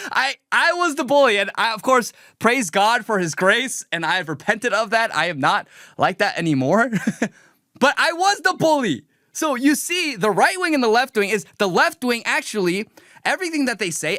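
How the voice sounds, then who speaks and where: A man's voice: high-pitched, pushed and bright, no room sound, clean studio sound. A young man streaming a mocking, combative political rant, talking emphatically as a show host. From raised in the United States. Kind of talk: livestream reaction rant.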